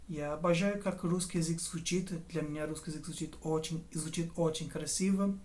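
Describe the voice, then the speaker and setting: Brazilian man speaking halting learner's Russian. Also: on the high side, fairly even intonation. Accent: Brazilian Portuguese. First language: Portuguese. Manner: halting, searching for words, self-correcting. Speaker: language learner and tutor. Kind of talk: casual vlog to camera.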